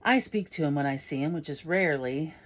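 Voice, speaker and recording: A woman reads a level-headed middle-aged father in an audiobook. He gives advice in a measured, plain-spoken way, in a low register. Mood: calm, cautioning. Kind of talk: character dialogue in audiobook.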